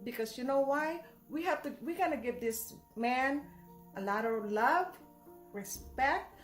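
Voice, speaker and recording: A woman's voice: high-pitched, lively intonation, measured pace, roomy sound, faint music behind. A Filipino woman with a Filipino accent, scolding emphatically in a ranting video reply. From Philippines.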